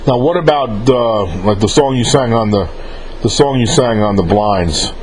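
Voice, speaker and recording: A man interviews in a casual, chatty way at a middling pitch. He rambles a little and rewords his questions as he goes. There is clear noise behind his voice. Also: moderate pace, a little mellow, low-quality recording. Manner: informal, rambling, often rephrasing questions. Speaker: interviewer relaying fan questions.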